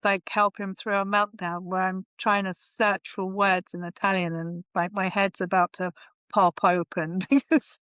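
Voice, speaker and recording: A woman guest on a podcast who chats casually and thinks aloud, often restarting her sentences. Her voice is smooth and clear at a medium pitch. The audio is dry and a little dull. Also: little pitch movement, a little slow, fairly bright tone, no echo. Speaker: podcast guest, marketing consultant. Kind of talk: casual conversation.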